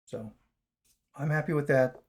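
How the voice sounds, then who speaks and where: A man with a raspy, chesty voice talks in a loose, rambling way, full of fillers and restarts. He is still recovering after a long fast. His voice sits a touch high and sounds husky throughout. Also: a little mellow. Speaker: vlogger. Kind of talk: casual talk to camera.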